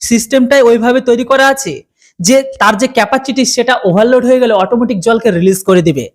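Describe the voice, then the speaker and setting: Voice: mid-range voice, fast talker. A channel host from India with an Indian Bengali accent, delivering news commentary.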